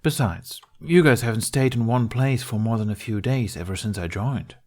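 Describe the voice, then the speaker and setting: A man voicing an excitable, cheeky teenage boy in a storybook reading, eager and a little hesitant. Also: medium pitch, normal speed, natural voice. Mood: bargaining.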